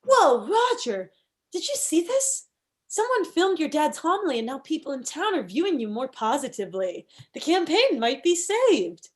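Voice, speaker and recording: Actress as shamelessly scheming, quirky female political aide; fairly high voice, comedy table read. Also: sing-song, normal speed. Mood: excited, coy.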